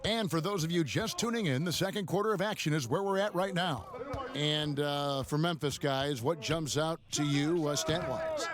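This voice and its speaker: A man's voice: on the high side, a crowd in the background. A man calling basketball play-by-play in short, punchy lines for a video game broadcast. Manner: brisk, punchy play calls. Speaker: play-by-play commentator.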